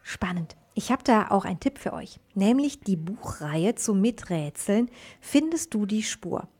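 A woman's voice: medium pitch, lively intonation, unhurried, darkish tone, husky. A woman presenting a children's radio show in a scripted style.

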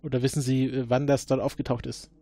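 A man improvising questions and deductions in a role-play investigation, haltingly repeating words as he thinks. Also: mid-range voice, flat delivery, mellow. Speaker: player questioning suspects in an investigation game.

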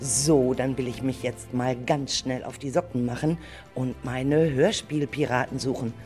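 A woman playing a curious, caring grandmother and teacher in a children's audio drama, speaking deliberately and expressively as she talks to herself. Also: very deep voice, steady tone, darkish tone, faint music behind. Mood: resolute, musing.

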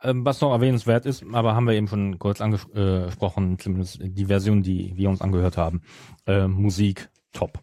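A man's voice: deep voice, fairly even intonation, fairly quick, mellow, no room sound, studio quality. A man talking casually as the co-host of a podcast. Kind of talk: casual chat.

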